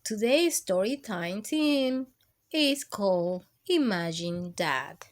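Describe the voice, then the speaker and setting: A woman hosting storytime, reading a children's picture book aloud in an expressive, deliberate way. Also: medium pitch. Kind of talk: scripted storybook narration for children.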